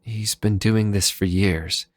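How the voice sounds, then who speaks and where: Guy doing worried resistance woman, urgent and grave, pitched up from a very deep voice, audio drama style. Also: steady tone, takes it slowly, no echo, studio quality. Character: anxious female resistance leader, urgent and grave.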